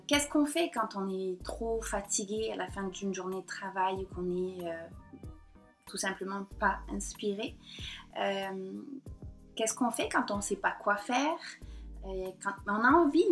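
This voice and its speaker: A woman's voice: mid-range voice, faint music behind. Woman with a mixed southern French and Québécois accent. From south of France. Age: 30s. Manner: hesitant, many pauses and fillers. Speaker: painter and blogger. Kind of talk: personal confiding vlog to camera. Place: at home in front of her computer. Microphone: computer or laptop mic.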